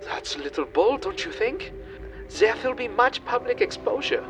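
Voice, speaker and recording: A man playing an anxious accomplice, fretful and hushed in a comedy radio play. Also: very high-pitched, big pitch swings, moderate pace, over music. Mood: worried. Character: anxious, awestruck German Nazi accomplice, male.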